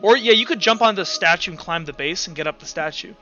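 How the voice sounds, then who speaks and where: A man's voice: sits high, fast, sharp tone, highs cut off, soft background music. A man acting as game master, casually narrating a tabletop roleplaying game.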